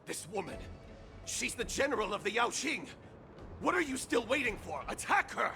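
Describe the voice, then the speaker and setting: Gravelly, savage wolf-man warrior. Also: extremely high pitch, sing-song, moderate pace, full character voice. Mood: urgent, wild. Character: savage, defiant captured wolf-man warrior, taunting and fearless. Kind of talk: dramatic game voice acting. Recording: loud game music behind.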